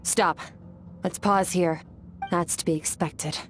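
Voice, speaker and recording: Cool, aloof mentor, mid-low pitch. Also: female voice, fairly even intonation, slow pace. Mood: cool, measured. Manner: restrained, matter-of-fact. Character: cool, aloof, demanding female sword mentor. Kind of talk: video game voice acting. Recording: music clearly behind the voice.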